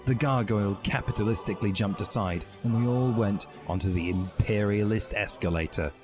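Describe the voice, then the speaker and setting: A man's voice: fairly low pitch, fairly quick. A man giving a mock-serious, emphatic reading of a comic fan fiction story. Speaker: podcast reader. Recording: music behind the voice. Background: music.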